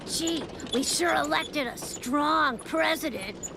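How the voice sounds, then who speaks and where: A woman's voice: high-pitched, slow, gravelly, very noisy, heavily acted. A woman voicing a naive, puzzled little boy in a cartoon, who sounds full of wonder. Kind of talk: cartoon voice acting. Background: cartoon music.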